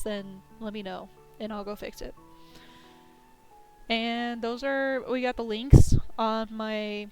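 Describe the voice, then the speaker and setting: A woman streamer chatting casually at the end of a live game stream, her voice scratchy and going hoarse, laughing often. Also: medium pitch.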